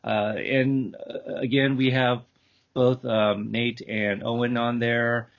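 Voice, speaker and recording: A man hosting a podcast, talking casually and off the cuff in a halting, rambling way. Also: mid-range voice, little pitch movement, gravelly. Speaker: podcast host. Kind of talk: casual, unscripted show wrap-up. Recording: dull, lo-fi call audio.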